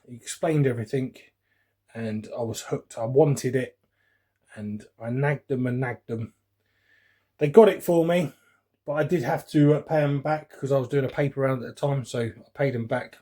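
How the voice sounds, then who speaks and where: A man's voice: mid-range voice, mellow. A man in his forties with a London English accent, chatting casually and reminiscing in an informal, rambling way as a hobbyist vlogger. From West London, England. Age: 40s. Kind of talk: casual reminiscing chat while unboxing.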